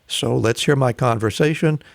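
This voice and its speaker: Host: man, gravelly mid voice, dry close mic, halting. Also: mid-range voice, no room sound. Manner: halting, many fillers and restarts. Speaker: podcast host. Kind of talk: podcast interview.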